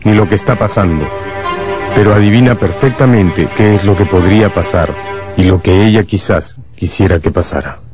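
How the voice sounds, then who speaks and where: A man narrating a scripted radio drama in a measured, dramatic storytelling manner. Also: low-pitched, muffled recording, over music.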